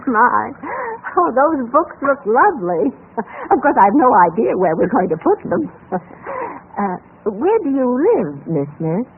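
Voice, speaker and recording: High, fussy housewife on vintage radio. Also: female voice, big pitch swings, measured pace, slight background noise. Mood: kindly, fussing. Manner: effusive, exclaiming, motherly. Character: kindly, fussy, talkative housewife who bosses her husband. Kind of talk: old-time radio drama acting. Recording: narrow telephone-like old radio recording.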